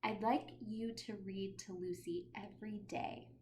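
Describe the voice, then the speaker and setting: A woman's voice: mid-range voice, steady tone, a little slow, dark tone, slight background noise, close to an everyday voice. A woman voicing an encouraging, knowing schoolteacher with playful humor and emphatic delivery in a storybook reading. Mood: gentle, knowing.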